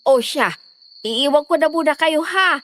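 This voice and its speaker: Fussy high-voiced village mother played by an actress. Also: a little slow, fairly bright tone. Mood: apologetic, hospitable. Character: fussy middle-aged village mother and host. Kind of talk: Filipino audio horror drama acting.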